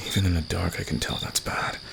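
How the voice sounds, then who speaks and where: A man playing a flirtatious, teasing fantasy villain with a secretly caring side, speaking intimately to the listener in an ASMR roleplay with a gravelly, seductive delivery. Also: sits low, little pitch movement, moderate pace. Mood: gentle, caring. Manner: teasing, seductive, soft-spoken. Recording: noisy, with nighttime crickets and insects. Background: cricket and insect night ambience.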